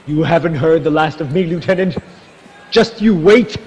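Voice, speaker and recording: An actor playing a haughty, indignant Spanish friar in a radio drama, with a bombastic and scornful delivery. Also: male voice, fairly high pitch, moderate pace, a little mellow, slightly rough. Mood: furious, scornful. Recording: long hall reverb, music underneath.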